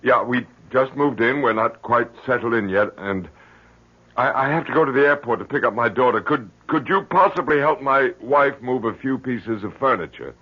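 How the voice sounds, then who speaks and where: A man's voice: mid-range voice, normal speed, husky, lightly acted. An actor playing a fretful middle-aged father in a 1970s radio drama, stammering and impatient. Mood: nervous, flustered. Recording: muffled 1970s radio recording.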